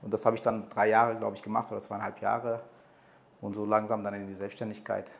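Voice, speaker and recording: A man in his thirties from Portugal, a guest talking in a relaxed way with plenty of hesitations. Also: fairly low pitch, a little fast, gravelly. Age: 30s. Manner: relaxed, hesitant with many fillers. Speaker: guest, entrepreneur. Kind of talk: interview conversation. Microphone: desk microphone on boom arm.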